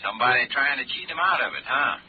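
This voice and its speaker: A man's voice: mid-range voice, lively intonation, quick pace, phone-quality sound, close to an everyday voice. A man acting a chummy, slangy New Orleans trapper in an old radio drama. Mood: chummy, teasing. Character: Angie, easygoing New Orleans swamp trapper, old buddy. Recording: noisy, band-limited old radio recording.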